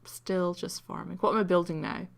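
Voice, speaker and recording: A woman giving casual, rambling commentary over a video game replay, croaky from having just woken up and mocking her own play between many ums. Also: on the low side, fairly even intonation, normal speed.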